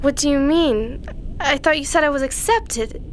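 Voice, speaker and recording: A woman's voice: high voice, normal speed, a little hiss or hum, natural voice. A woman playing an eager, anxious teenage orphan girl in a science fiction audio drama. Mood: anxious, vulnerable.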